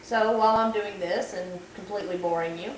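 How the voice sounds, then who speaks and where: A woman's voice: medium pitch, steady tone, a little fast. Woman, losing her voice, chatty, self-deprecating, echoey room, audible background noise. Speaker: vlogger. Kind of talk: casual cooking tutorial. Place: home kitchen at night. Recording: echoey kitchen, far from camera, background noise.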